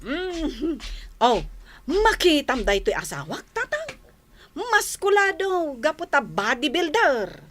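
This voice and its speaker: A high, bright, mocking young wife. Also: female voice, big pitch swings, slow pace, slight background noise, full character voice. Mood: boastful. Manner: exaggerated, drawling, giggly. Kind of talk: comedic radio drama acting.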